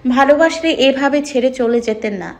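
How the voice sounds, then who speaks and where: A woman playing a young wife in a romantic audio story, speaking quietly and plaintively. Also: high voice, animated, normal speed. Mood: hurt. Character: young wife, hurt and sulking, shy. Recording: soft background music.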